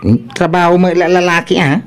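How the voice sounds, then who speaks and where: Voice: medium pitch, brisk, lightly acted. A performer with an Ilocano accent playing a lazy, boastful, lovestruck grown son, cheeky and whiny, in a comedy radio drama. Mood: cocky.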